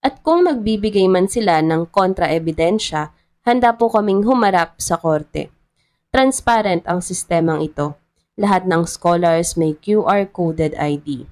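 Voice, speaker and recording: A woman with a Filipino accent voicing a strict, principled female town treasurer in a story, calm, formal and resolute. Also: lowish voice, measured pace.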